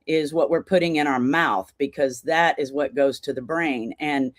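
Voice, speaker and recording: A woman's voice: deep voice, normal speed, no room sound, studio quality. A woman in her sixties, a guest on a podcast, telling her story earnestly in long run-on sentences. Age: 60s. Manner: earnest, talks in long run-on stories with laughter. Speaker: podcast guest, charity founder. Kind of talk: casual interview storytelling.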